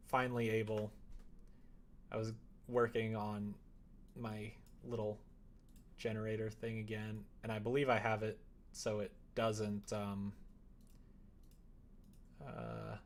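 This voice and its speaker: Low-pitched man chatting on a livestream. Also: level tone, slow pace, pauses often, slight background noise. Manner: rambling, thinking aloud. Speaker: live streamer. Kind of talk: casual chat with stream viewers.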